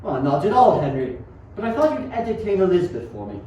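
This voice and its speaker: A man's voice: sits high, sing-song, quick pace, dark tone. A young man acting an earnest, obsessive 19th-century scientist in an amateur radio play, with dramatic, formal delivery. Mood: polite, eager. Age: young adult. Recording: very echoey, distant, dull, faint noise.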